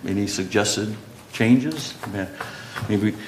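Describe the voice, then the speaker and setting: A man's voice: lowish voice, measured pace, darkish tone, a little hiss or hum, rough recording. An older man chairing a community board meeting, procedural and hesitant, with many "um" and "uh".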